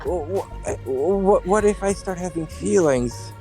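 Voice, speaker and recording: A woman playing a game with friends, joking in a playful, drawn-out way. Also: lowish voice, lively intonation, warm tone, faint music behind. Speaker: player in a group game stream. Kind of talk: casual group game commentary.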